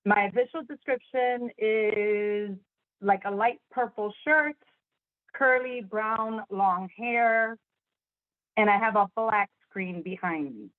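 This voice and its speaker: A woman's voice: mid-range voice, takes it slowly, dry recording. A woman in her forties from Chicago, with Spanish as her first language, talking about herself on an online panel in a chatty way and laughing easily. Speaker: interpreter, panelist. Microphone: video call. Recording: tinny phone-like call audio.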